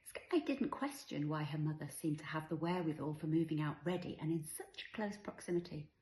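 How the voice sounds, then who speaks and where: A woman's voice: deep voice, expressive, fairly quick, darkish tone, reverberant, rough recording. A woman reading her own novel aloud as scripted story narration.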